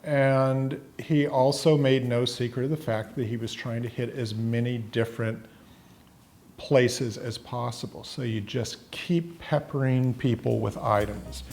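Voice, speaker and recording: A man's voice: medium pitch, fairly even intonation, unhurried, a little mellow. An American man in his sixties telling stories conversationally in a documentary interview. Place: indoor room with staircase, seated. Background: music.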